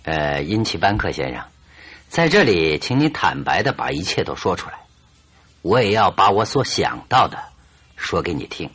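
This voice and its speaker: An actor playing a teasing, self-assured male detective in a Mandarin radio drama, with a husky voice. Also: deep voice, sing-song, moderate pace, a bit bright, full character voice. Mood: polite. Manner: chuckling, teasing, theatrical swings in delivery.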